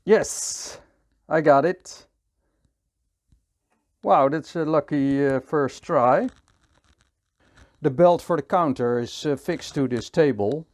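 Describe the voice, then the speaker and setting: A man with a Dutch accent, calmly thinking aloud as he works through a hands-on repair tutorial. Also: a little high, slow, long pauses. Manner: calm, thinking aloud while working. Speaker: repair technician.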